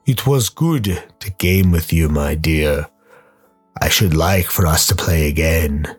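A man voicing a sinister spirit who coaxes with slow, courtly, silky menace, as in a radio play. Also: extremely low pitch, husky, soft background music, pitched below the performer's own voice, exaggerated voice. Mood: sinister, coaxing. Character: sinister, coaxing male spirit voice, patient corruptor.

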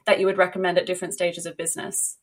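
A woman's voice: on the low side, little pitch movement, racing. A woman hosting a podcast, speaking in an effusive, conversational way as she introduces and interviews. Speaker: podcast host.

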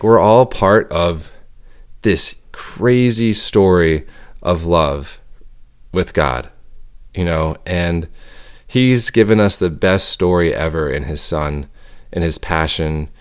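A man's voice: deep voice, clear, resonant tone. A young man co-hosting a faith podcast, talking in an earnest, reflective way as he searches for his words. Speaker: podcast co-host and storyteller. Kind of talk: casual podcast chat.

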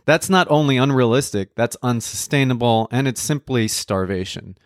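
A man's voice: medium pitch, normal speed, dry recording, clean studio sound. A middle-aged man from Colombia, a nutrition counselor talking casually and wryly on a podcast. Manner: conversational, wry, lightly joking. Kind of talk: podcast talk.

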